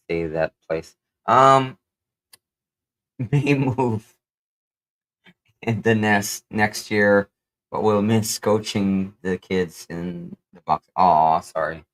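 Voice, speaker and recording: A man with a Kapampangan accent co-hosts a relaxed livestream. His voice sits on the low side, and he reads viewer comments aloud in a meandering, easygoing way, with plenty of "um" and "you know what I'm saying". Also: low-quality recording. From Philippines. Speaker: livestream co-host. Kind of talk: casual livestream chat, reading viewer comments.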